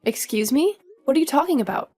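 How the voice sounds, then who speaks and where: A woman's voice: sits high, expressive, a little fast, mellow, faint music behind. A woman playing an earnest young daughter-in-law who is polite but firm, in a scripted audio story. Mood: indignant. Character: earnest, indignant young daughter-in-law standing her ground.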